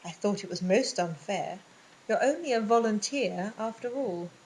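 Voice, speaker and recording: A woman voicing a stern, ponderous middle-aged male vicar, speaking in a measured, disapproving way. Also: expressive, a little mellow, a little hiss or hum, natural voice, low-quality recording. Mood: reproachful. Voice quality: low and ponderous. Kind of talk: audiobook reading.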